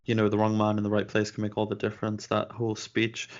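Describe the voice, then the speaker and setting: A man with a low voice talks casually and earnestly, laying out his opinions at length. The sound is dull and lo-fi, like a cheap home recording. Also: monotone, fast talker. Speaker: podcast co-host. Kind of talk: casual chat.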